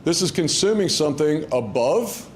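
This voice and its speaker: A man's voice: fairly high pitch, animated, moderate pace, a little hiss or hum. A middle-aged man giving a keynote to students in a conversational way, loose and chatty, with frequent fillers and restarts. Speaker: keynote speaker. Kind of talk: casual, conversational talk to students. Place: university event hall.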